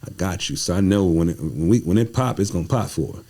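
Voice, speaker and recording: A man's voice: deep voice, expressive, quick pace, creaky, background noise. A man chatting casually as a podcast guest, telling personal stories and emphatically repeating his phrases. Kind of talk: animated casual conversation, personal storytelling.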